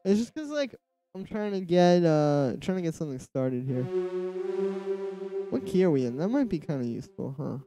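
A man's voice: a little high, moderate pace. A man with a nasal voice chatting casually on a livestream while producing a track. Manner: relaxed, musing aloud, reads chat replies. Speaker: music producer streamer. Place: home studio, indoors. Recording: livestream with loud music under voice. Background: electronic music playing.

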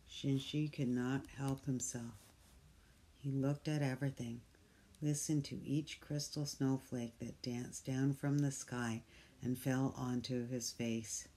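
A calm reader takes a picture book at an unhurried pace. The tone is dark and mellow, gentle and soft-spoken, and now and then sinks into a hushed whisper. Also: mid-range voice, rough recording. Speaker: storybook reader. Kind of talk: slow picture-book read-aloud.

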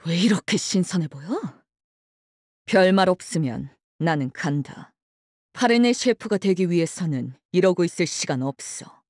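A woman voicing a cold, curt female chef and swordswoman in a video game, with a hushed, deadpan, sinister delivery. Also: lowish voice, measured pace, no echo, clean studio sound. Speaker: voice actor for a game character. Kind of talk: Korean video game character voice lines.

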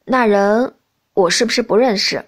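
A woman's voice: medium pitch, moderate pace, husky, voice pushed down, lightly acted. A woman voicing a flustered young princess who lives in a prince's body, hesitant and protesting, in an audiobook. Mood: exasperated. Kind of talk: audiobook character dialogue.

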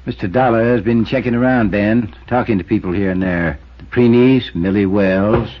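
Man acting a low, folksy small-town constable. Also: normal speed, close to an everyday voice. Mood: gently questioning. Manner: folksy, hesitant, gentle. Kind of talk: 1950s radio drama acting. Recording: muffled 1950s radio recording.

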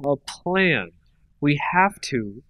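A man's voice: a little high, very animated, extremely slow, fairly bright tone, dry recording, exaggerated voice. A man playing a wounded steadfast warrior. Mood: strained. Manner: strained, earnest.

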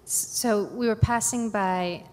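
A woman from Brooklyn recounting her life in an oral history interview, hesitant with many pauses and fillers. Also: medium pitch, darkish tone. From Brooklyn, New York. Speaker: oral history narrator on a panel. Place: conference hall. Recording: echoey hall, faint background noise.

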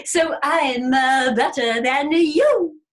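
A woman's voice: high voice, takes it slowly, voice pushed up. A woman voicing a proud, cocky male rabbit in a storybook, declaiming in an emphatic, self-satisfied way. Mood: smug. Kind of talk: animated storybook reading.

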